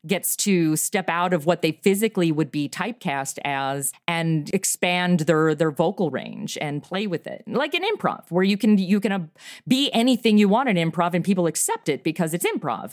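A woman's voice: fairly low pitch, dry recording. Middle-aged woman, dry close sound. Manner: animated, laughs often, quick asides. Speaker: podcast creator and guest. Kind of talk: casual interview chat.

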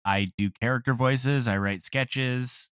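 A man's voice: mid-range voice, slow, a bit bright, clear, resonant tone, no room sound, studio quality. A man who acts and writes, talking casually in an interview from home. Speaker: voice actor and writer.

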